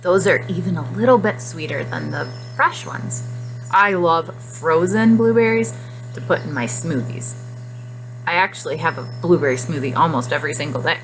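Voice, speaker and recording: A woman teacher speaking to young children in simple, expressive phrases during a guided reading lesson. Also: sits low, normal speed, faint music behind, rough recording. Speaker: classroom nutrition teacher.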